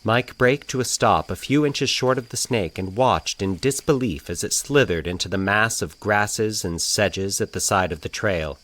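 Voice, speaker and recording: A man narrating scripted eerie fiction in a measured, deliberate, formal storytelling manner. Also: lowish voice, fairly bright tone.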